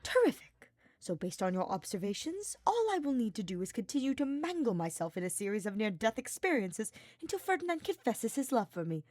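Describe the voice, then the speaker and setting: A woman's voice: a little high, big pitch swings, fairly quick, darkish tone, no room sound, pitched above the performer's own voice. A woman voicing a dour male mage who speaks in a dry, formal, sarcastic way, in a fantasy story. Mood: dry sarcasm.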